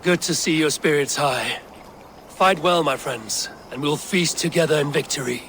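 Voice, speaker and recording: An actor playing a stern male Viking raid leader in a video game cutscene, speaking in a grave, measured, commanding way. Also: a little high, background noise, natural voice. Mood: resolute.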